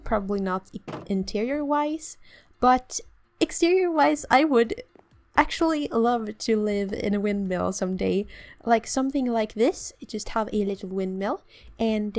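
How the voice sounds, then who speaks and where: A young woman from southern Sweden with a southern Swedish accent, chatting playfully through her game with a slightly sore throat and frequent little giggles. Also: a little high. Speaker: gaming channel host. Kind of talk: casual let's-play commentary.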